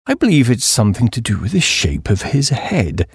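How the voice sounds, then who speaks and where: A man's voice: mid-range voice, huge pitch swings, moderate pace, slightly rough, no room sound, studio quality, exaggerated voice. Man playing a dim, chummy young gent. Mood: awed. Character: awed, dim, chummy young English gentleman.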